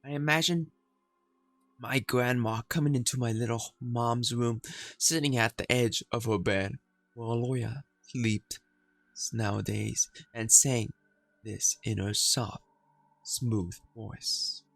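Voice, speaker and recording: A man who streams games, reading a horror game's story aloud in a slow, dramatic storytelling way. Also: mid-range voice, steady tone, vocal fry, fairly bright tone, dry recording, soft background music. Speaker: gaming streamer.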